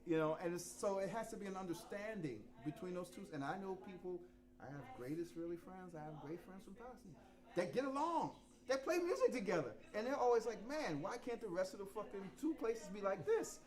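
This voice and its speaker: A man's voice: lively intonation, a little fast, a crowd in the background. Frank, profane man in his fifties. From New York. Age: 50s. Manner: frank and profane, swings from low and quiet to loud and laughing. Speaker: visiting drummer teaching a masterclass. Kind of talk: casual talk to students. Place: rehearsal room.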